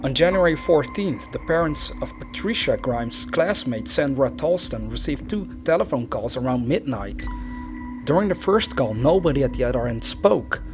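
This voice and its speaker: A man's voice: mid-range voice, expressive, moderate pace. A man reading a scripted true crime narration as the narrator of a video. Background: background music.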